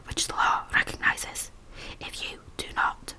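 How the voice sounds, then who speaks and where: A steady male lawyer lays out his case as a woman whispers him, every word measured, calm and formal. The whisper is airy and very bright. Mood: firm.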